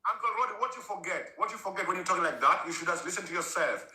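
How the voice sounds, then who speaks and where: Male debater, rapid and insistent, repeats phrases, echoey sound. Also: high voice, animated, sharp tone. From Africa. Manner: insistent, repeats phrases, talks over others. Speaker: debate panellist. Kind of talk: heated debate.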